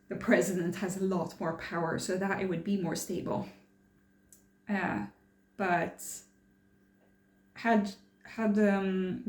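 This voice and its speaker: A woman's voice: fairly low pitch, a little mellow, roomy sound. A young woman from France chatting casually in a personal vlog, rambling and hesitant with many fillers and restarts. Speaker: vlogger.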